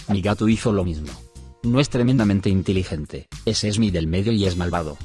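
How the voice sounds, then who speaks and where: Low-voiced man narrating stories. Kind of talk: scripted narration of internet stories. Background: soft background music.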